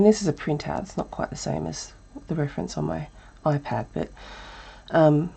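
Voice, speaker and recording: Woman, Australian accent, croaky, breathy, mellow, art lesson. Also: low voice. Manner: relaxed, thinking aloud with many ums. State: croaky, breathy voice from a chest cold. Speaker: art teacher. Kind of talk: live drawing tutorial.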